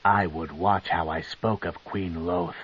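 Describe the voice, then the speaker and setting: A man voicing a cold, scheming female dark elf matriarch, with a slow, commanding and sneering delivery. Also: sits low, fairly even intonation, breathy edge, no room sound, voice pushed down, exaggerated voice. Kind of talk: audiobook character reading. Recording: dull lo-fi tape.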